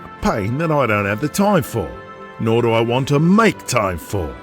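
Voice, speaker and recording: A man's voice: medium pitch, sing-song, normal speed, faint music behind, lightly acted. A man playing a brash, boastful, profane Australian big-game hunter and media tycoon in an audio drama, with an intimidating manner. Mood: commanding, scornful.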